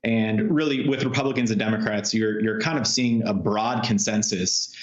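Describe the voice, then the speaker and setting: A man's voice: fairly low pitch, normal speed. An American man, a political reporter, giving informal live analysis in a loose, conversational way over a remote link from a room. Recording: roomy, dull video call sound.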